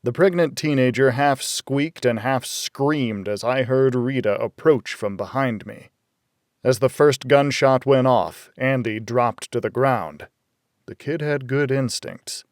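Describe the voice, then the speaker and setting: A man's voice: mid-range voice, darkish tone, dry recording, studio quality. A man narrating a first-person noir thriller audiobook in a wry, hardboiled storytelling manner.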